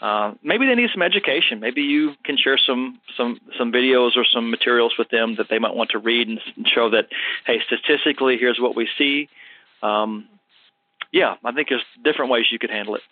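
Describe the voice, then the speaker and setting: A man's voice: medium pitch, brisk, no room sound. Man, health practitioner host, casual thoughtful advice, dry close sound, thin narrow audio. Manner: casual, thoughtful, many fillers. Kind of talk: answering a caller on a podcast.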